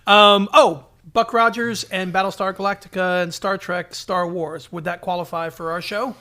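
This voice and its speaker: A man's voice: high-pitched, steady tone, moderate pace, pushed and bright. A man co-hosting a podcast in casual, joking banter, slipping into little mock quotes as he talks. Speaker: podcast co-host. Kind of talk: casual nostalgic banter about superhero TV.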